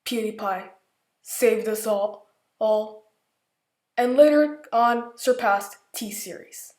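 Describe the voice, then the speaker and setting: Woman chatting in an echoey room, slow and halting, slightly high voice. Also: darkish tone. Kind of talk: casual informal storytelling.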